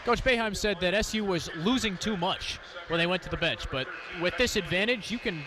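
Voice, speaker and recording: High-voiced male basketball color commentator. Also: brisk. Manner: analytical, stat-driven. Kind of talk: live basketball analysis. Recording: loud arena noise behind the voice. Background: gym ambience, bouncing basketballs.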